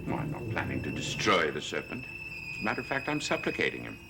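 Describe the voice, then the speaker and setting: An actor playing a sly, scheming middle-aged warlock in a 1960s soap opera, with a smooth, insinuating manner. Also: male voice, low voice, huge pitch swings, fast talker, background noise, exaggerated voice. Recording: old TV soundtrack with music. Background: music.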